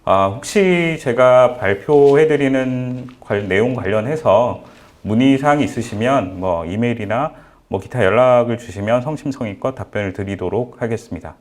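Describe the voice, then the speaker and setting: A man giving a formal conference presentation as an invited speaker, polite and measured, often starting phrases with small fillers. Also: medium pitch. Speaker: guest speaker at a seminar.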